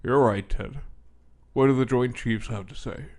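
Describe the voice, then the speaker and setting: A man's voice: medium pitch, measured pace, a little mellow, close to an everyday voice. A man playing a weary, hesitant, folksy president who stammers in exasperation in a comic audio drama. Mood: weary, sceptical.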